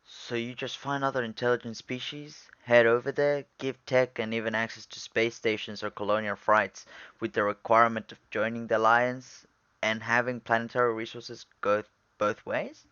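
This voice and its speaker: A man playing a young human space trainee in an animated film, talking in a casual, bantering way. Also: mid-range voice, moderate pace, clear, resonant tone, no room sound, highs cut off, natural voice. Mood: questioning.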